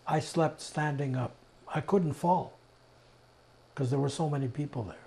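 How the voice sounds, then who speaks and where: An elderly man from Czechoslovakia giving personal testimony, telling his story deliberately in short, emphatic phrases with pauses. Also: fairly high pitch, mellow. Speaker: interviewee, Holocaust survivor giving testimony. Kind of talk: personal oral history testimony.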